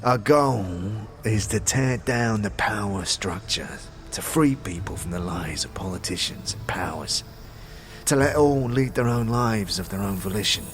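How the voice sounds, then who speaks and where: An actor playing a talking skull, a dead anarchist who is bitter, conspiratorial and speaks in streetwise slang, in a fantasy audio drama. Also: male voice, on the low side, lively intonation, unhurried, soft background music. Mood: fervent, secretive. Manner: streetwise slang, scornful, guarded.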